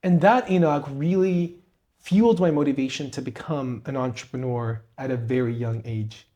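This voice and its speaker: A man's voice: medium pitch, normal speed, mellow. A young man from Peru, co-host of a podcast, telling his story and giving advice in an earnest, motivational way. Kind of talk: conversational interview.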